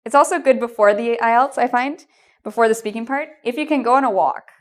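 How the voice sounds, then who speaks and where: A woman's voice: a little high, brisk. A woman with a Canadian accent giving an English exam lesson, encouraging and reassuring, with frequent "um" and "okay?" checks. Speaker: English teacher. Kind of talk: video lesson with tips.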